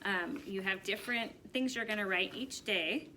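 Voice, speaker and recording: A woman teacher reading a storybook aloud to young children and giving simple directions in an expressive way. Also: mid-range voice, normal speed, background noise.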